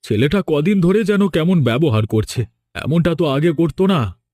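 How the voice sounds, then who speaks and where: A man's voice: a little high, animated, normal speed, mellow. A man playing a sombre, middle-aged villager in a horror cartoon, earnest and subdued. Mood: worried.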